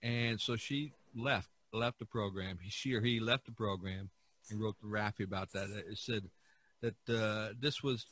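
A man hosting a casual podcast discussion, talking in a halting, rambling way. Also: on the low side, steady tone, a bit bright. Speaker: podcast host.

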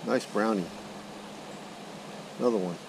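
A middle-aged man with a fairly low voice talks through his fishing trip in a folksy, excited way. He is outdoors by a creek, and an action camera on his head picks him up. Steady water noise runs loudly under everything. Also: gravelly. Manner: excited exclamations, folksy talk. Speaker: fishing vlogger. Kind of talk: casual running commentary while fishing. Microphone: head-mounted action camera. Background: rushing water noise.

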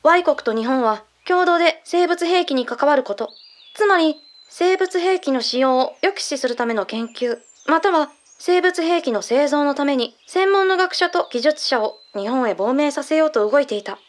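Woman acting measured, high-voiced intelligence briefer. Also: lively intonation. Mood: composed, explanatory. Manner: measured, matter-of-fact, blunt masculine phrasing. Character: calm intelligence colleague briefing secret findings. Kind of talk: audio drama dialogue.

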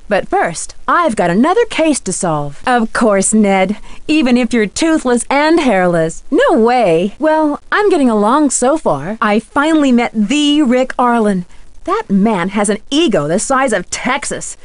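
A woman playing an earnest, sharp young female detective in a video game, speaking inquisitively and with clear diction. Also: a little high, very animated, unhurried, no room sound. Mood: light, playful.

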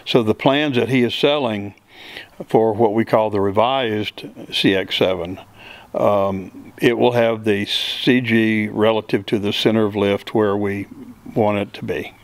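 A man's voice: on the low side, fairly even intonation, a little slow, no echo. A middle-aged man, a builder and pilot, answering interview questions in a plain, step-by-step way with many fillers, recorded on a handheld mic in an open hangar. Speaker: aircraft builder and pilot guest. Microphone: handheld mic held by interviewer.

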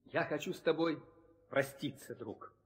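A man as a noble, highish-voiced warrior prince. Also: measured pace, close to an everyday voice. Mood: resolute. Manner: formal, heroic, solemn. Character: noble, courteous warrior prince, earnest husband. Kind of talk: 1960s Soviet audio play acting. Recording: old studio record with long reverb.

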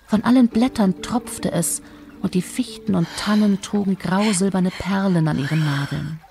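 A woman narrator telling a scripted German fairy tale in a measured, expressive storytelling manner. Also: medium pitch, creaky. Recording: music behind the voice.

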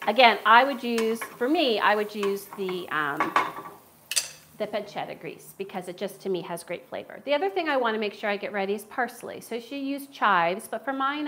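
A woman's voice: mid-range voice, background noise. A middle-aged woman with a Philadelphia accent hosting a live cooking stream from a large home kitchen, chatting and laughing as she cooks, picked up by a camera across the room. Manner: chatty, laughs often, talks while cooking. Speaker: cooking show host. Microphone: far camera.

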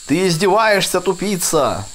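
Guy doing a grumpy bossy lumberjack toy for a kids game, barky, raised pitch. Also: sing-song, measured pace, a bit bright, soft background music, full character voice. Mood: irritated. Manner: barking, insulting. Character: gruff, bossy, short-tempered male lumberjack toy.

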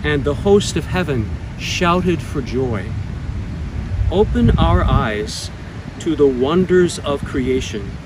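An American man in his sixties speaking as a bishop, giving a pastoral message in a measured, earnest way with some hesitations. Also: on the high side, expressive. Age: 60s. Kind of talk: scripted pastoral announcement to camera. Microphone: lapel mic. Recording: clear outdoor traffic and ambient noise.

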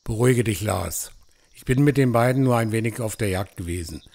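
A man's voice: on the low side, fairly quick, vocal fry, darkish tone, no echo. Man playing a firm, protective hunter. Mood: placating. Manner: firm, placating. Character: calm, protective village hunter taking charge. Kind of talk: fantasy audio drama acting.

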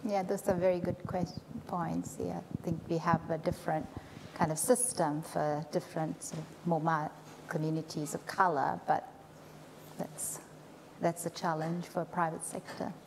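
A woman's voice: fairly low pitch, level tone, normal speed, creaky, noisy background. A middle-aged woman lecturer answering audience questions, speaking thoughtfully with some hesitation. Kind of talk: public lecture Q&A. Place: lecture theatre. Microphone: lapel mic. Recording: long reverb of a lecture hall.

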